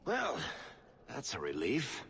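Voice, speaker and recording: A man's voice: medium pitch, huge pitch swings, takes it slowly, fairly bright tone, exaggerated voice. A man playing a wry, loyal storyteller under questioning in a video game, talking in a sardonic, conversational way. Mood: sardonic, defensive. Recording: reverberant hall, faint noise.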